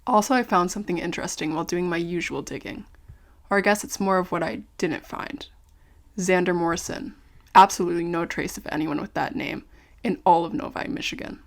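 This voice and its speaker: An actress playing a curious, courteous investigative podcaster in an audio drama, speaking conversationally. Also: female voice, fairly low pitch, fairly quick, natural voice. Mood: confiding. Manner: courteous, conversational, addresses listeners directly. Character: curious, polite female investigative podcaster among zombie fighters.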